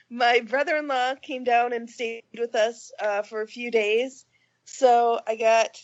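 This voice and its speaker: A woman's voice: a little high, highs cut off. Stuffy-nosed chatty woman. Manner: chatty, laughing, self-deprecating. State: stuffy from a cold. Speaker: podcast co-host. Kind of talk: casual chat.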